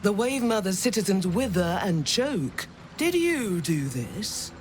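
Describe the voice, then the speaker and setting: A solemn sea priestess, speaking slowly with a lively, rising and falling pitch. She sounds grave and accusing, with faint noise behind her in a game cutscene. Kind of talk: video game voice acting.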